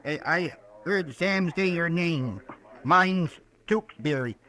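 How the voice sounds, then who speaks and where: A man's voice: a little high, slow pace, sharp tone. An actor playing a cranky, boastful old American frontiersman of about eighty in a radio western, rambling and stammering as he goes. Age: elderly character of about eighty. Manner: rambling, stammering, repeats himself.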